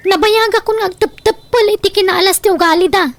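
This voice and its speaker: Radio drama: scheming Filipino mother, very high voice, sharp tongue, some noise on the recording. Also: steady tone, fast. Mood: indignant. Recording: noticeable background noise.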